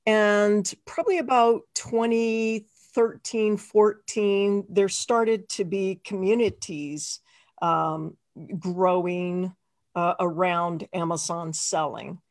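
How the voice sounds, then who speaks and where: A woman's voice: medium pitch, steady tone, slow pace. A woman hosting an interview over a video call, encouraging her guest with short affirmations. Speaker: host, interviewer. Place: home office.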